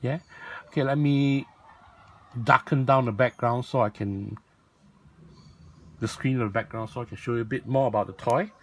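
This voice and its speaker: A man with a raspy voice, talking casually and rambling as he shows off a toy in a video. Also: mid-range voice, steady tone, moderate pace, pauses often. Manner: rambling, self-correcting, lots of fillers. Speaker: toy blogger and vlog host.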